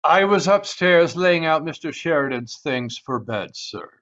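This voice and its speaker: Actor as formal manservant, dull lo-fi audio. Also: male voice, on the high side, lively intonation, measured pace, fairly bright tone. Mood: composed, deferential. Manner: formal, polite. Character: deferential, composed male household servant. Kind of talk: 1940s radio mystery acting. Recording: dull lo-fi video call audio.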